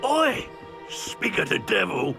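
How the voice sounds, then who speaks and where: Gravelly, menacing British thug wizard. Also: male voice, sits high, sing-song, heavily acted. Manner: coarse, slow, threatening. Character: menacing rough male dark-wizard thug. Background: music.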